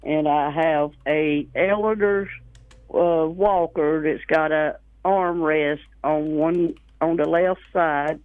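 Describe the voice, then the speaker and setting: A woman's voice: deep voice, fairly even intonation, takes it slowly, dry recording. A woman phoning a radio show and plainly listing items for sale. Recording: dry, narrow telephone line on radio.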